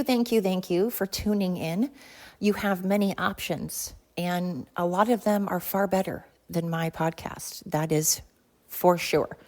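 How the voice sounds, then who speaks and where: A woman's voice: fairly low pitch, a little mellow. A woman hosting a solo podcast in a chatty, rambling, self-deprecating and slangy way, talking into her phone. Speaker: podcast host. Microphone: talking into a phone while pacing.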